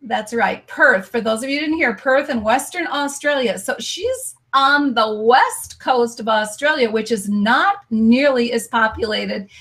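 American woman, show host, upbeat sales-y delivery, slightly high voice. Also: expressive, unhurried, hardly any pauses, a bit bright. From United States. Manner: upbeat, promotional. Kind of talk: live stream interview show.

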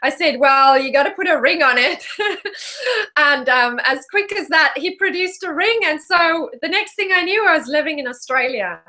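A woman's voice: high-pitched, animated, moderate pace, bright, forceful tone. A woman from South Africa with a South African accent, talking as an interview guest over a video call in a friendly, chatty way. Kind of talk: interview, casual storytelling.

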